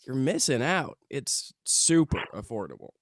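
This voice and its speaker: A man's voice: medium pitch, sing-song, unhurried, no echo. A man acts out a down-on-himself stoner who hunts UFOs. He grumbles like a self-pitying slacker in a comedy script read, with a very dry, close sound. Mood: sheepish, glum. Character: dejected stoner man, veteran UFO hunter.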